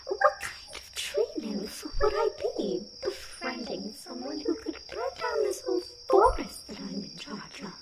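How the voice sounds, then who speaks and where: Woman playing a high-voiced feisty tree nymph. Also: wildly swooping pitch, a little slow, few pauses, very noisy, exaggerated voice. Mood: defiant denial. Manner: theatrical, emphatic, playfully defiant. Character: feisty, forgetful young female tree nymph, stubborn and playful. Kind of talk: ASMR fantasy roleplay. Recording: loud chirping forest ambience over voice.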